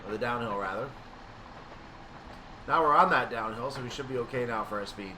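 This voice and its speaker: A man's voice: medium pitch, brisk, sharp tone. Man commentating gameplay, distant noisy mic. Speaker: gaming video creator. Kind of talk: casual gameplay commentary on a train simulator. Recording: distant mic, clear background noise.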